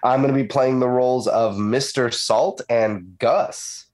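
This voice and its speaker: A man guest introducing himself on a podcast in a deadpan, low-key way. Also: measured pace.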